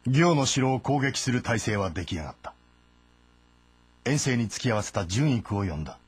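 A man's voice: mid-range voice, lively intonation, moderate pace, slight background noise. A man giving scripted, dramatic narration of a historical story as its narrator. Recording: music behind the voice. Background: background music.

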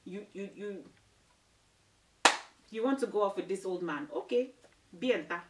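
A woman voicing an indignant Ghanaian parent scolding a daughter, in a lower, hushed, reproachful tone. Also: lively intonation, measured pace, very noisy, close to an everyday voice. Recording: roomy, distant mic.

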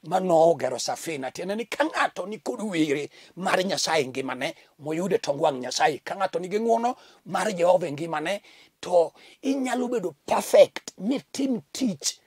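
A man's voice: high-pitched, expressive, normal speed, slightly rough, dry recording. A middle-aged man from Kenya preaching a fervent TV sermon at a studio desk, with a recurring thanks-to-God refrain. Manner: fervent preaching with sweeping hand gestures. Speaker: pastor. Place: indoor TV studio set at a desk.

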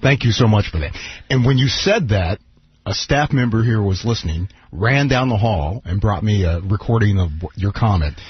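A man's voice: on the low side, expressive, moderate pace, husky. A middle-aged man, a radio program director, guesting on a talk show with a dry, teasing humor. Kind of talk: casual on-air chat. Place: radio studio. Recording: dull radio broadcast.

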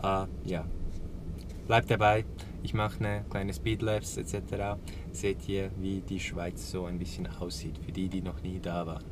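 A man's voice: sits low, little pitch movement, moderate pace. A young man talking casually to camera from a parked car, thinking aloud with many filler sounds. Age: young adult. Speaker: vlogger. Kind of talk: casual vlog talk to camera. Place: inside a car, parked in snow. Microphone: camera mounted on the dashboard. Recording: noisy car interior.

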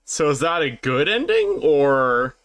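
Animated, unhurried male gaming host. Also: a little high. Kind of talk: casual playthrough commentary with friends.

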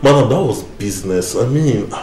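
An actor playing a callous young lover, dismissive and exasperated, in a film melodrama. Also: male voice, mid-range voice, animated, moderate pace, over music, lightly acted. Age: young adult. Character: callous young kept lover, brushing off older woman. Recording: noisy, echoey location sound.

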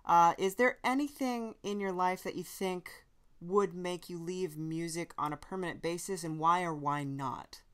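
A woman's voice: on the low side, normal speed, a bit bright. A woman hosting a casual interview, asking questions in an informal, hesitant way. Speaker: podcast interviewer.

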